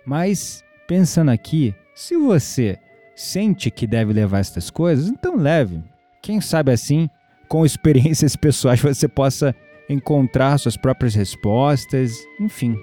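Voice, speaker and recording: A man with a Brazilian accent acting in an audio drama as a patient believer who explains spiritual matters in a conversational, gently teasing way. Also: medium pitch, expressive, unhurried, close to an everyday voice. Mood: calm, understanding. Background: music behind the voice.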